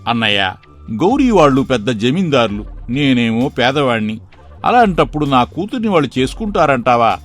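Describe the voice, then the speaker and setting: A man acts out an earnest, poor middle-aged village father in a moral story. His pitch is animated and swings widely, and his manner is deferential. Light music sits behind the voice. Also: normal speed, lightly acted. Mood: humble.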